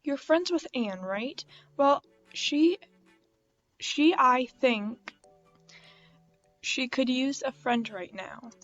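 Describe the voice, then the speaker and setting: A woman's voice: high voice, expressive, very slow, long pauses, dull audio, soft background music, exaggerated voice. A woman reading the lines of a concerned, loyal stable worker from a horse game in an earnest manner. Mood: worried, admiring.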